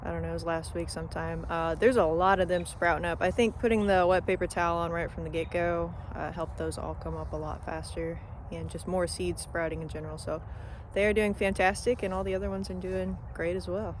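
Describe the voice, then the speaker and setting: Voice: mid-range voice, level tone, a little fast. A farmer vlogging their small farm in an easygoing, chatty way, sounding a bit nasal and stuffed up. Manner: easygoing, chatty, many ums and uhs. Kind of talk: casual vlog narration. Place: outdoors on a farm, by a chicken coop. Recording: noisy outdoor recording.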